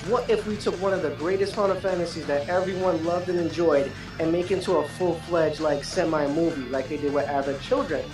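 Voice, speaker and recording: A woman's voice: low voice, fairly even intonation, a little fast, few pauses. A woman chatting casually and emphatically as a panelist on a gaming podcast, recorded off mic in an echoey sound. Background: music.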